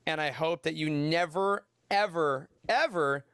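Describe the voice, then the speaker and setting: A man's voice: fairly high pitch, unhurried, a bit bright, clean tone, dry recording, clean studio sound. A man hosting a podcast, chatting casually and jokingly through a news roundup in an upbeat way. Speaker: podcast host.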